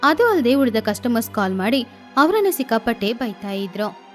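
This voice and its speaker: Woman narrator, children's cartoon, steady storytelling, slightly high voice, faint music. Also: moderate pace.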